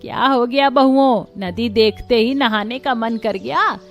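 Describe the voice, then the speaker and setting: A woman's voice: a little high, unhurried, faint music behind. A woman voicing a wry, practical old village mother-in-law in a cartoon story, plain-spoken and scolding. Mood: wry, resigned. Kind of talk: Hindi cartoon story dub.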